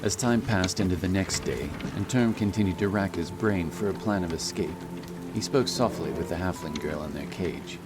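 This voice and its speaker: A man narrating a fantasy audiobook in a measured, solemn, dramatic storytelling style. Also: sits low. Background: background music and sound effects.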